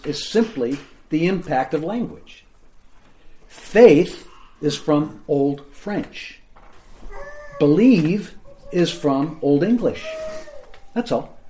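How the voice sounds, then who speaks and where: A man's voice: fairly high pitch, a little mellow. A man teaching the Bible to a group in a room, explaining in a plain, conversational way and often checking in with his listeners.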